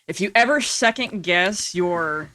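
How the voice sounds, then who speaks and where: A woman's voice: fairly low pitch, expressive, a little slow, bright, forceful tone. A woman speaking as a podcast guest in casual conversation, earnest and encouraging, stressing her points firmly.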